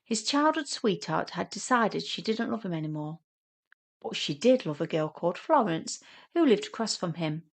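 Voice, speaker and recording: Lower-pitched woman narrating a short story. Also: very animated, normal speed.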